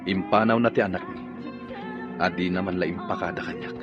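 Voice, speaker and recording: A man's voice: low-pitched, brisk, dull audio, music in the background, natural voice. An actor playing a troubled husband and father in a radio drama, pressing for the truth. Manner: pleading, questioning.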